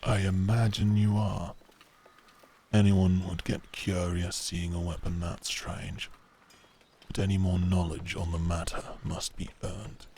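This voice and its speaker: A man playing a stern, commanding medieval knight with a gravelly, husky voice and formal, curt speech in a roleplay audio drama. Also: deep voice, mellow, a little hiss or hum.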